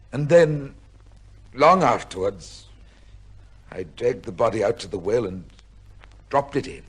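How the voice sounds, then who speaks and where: An actor in an old-time radio drama playing an anguished, upright accused judge, confessing in formal, emphatic phrases. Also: male voice, mid-range voice, husky.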